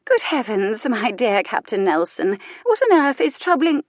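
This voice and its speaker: A woman's voice: fairly high pitch, very animated, measured pace, no room sound, clean studio sound, voice pushed up, full character voice. A woman voicing a dreamy, fluttery older noblewoman who exclaims easily.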